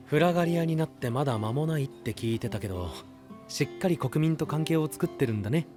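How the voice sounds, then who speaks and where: A man's voice: fairly high pitch, expressive, fast talker, music in the background, natural voice. A man playing a friendly, observant, easygoing young man in an anime voice drama, with casual wording.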